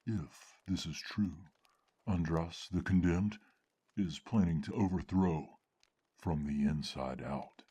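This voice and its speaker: A stern fantasy lord, voiced by a man, gives formal commands in a low, husky voice. He sounds weighty and authoritative, like a council elder in an audio drama. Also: slow, dark tone, full character voice.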